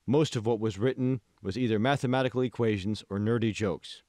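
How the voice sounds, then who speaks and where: A man's voice: lowish voice, brisk, darkish tone, no echo, studio quality. A man reading scripted audiobook narration as the author of the book.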